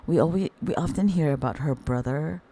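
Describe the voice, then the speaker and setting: A woman with an extremely low voice, hoarse and husky from the flu. She talks slowly and warmly in a chatty way, calling her viewers darlings as she comments on the news. She sounds middle-aged. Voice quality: very deep voice. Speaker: commentary channel host. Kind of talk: casual commentary chat.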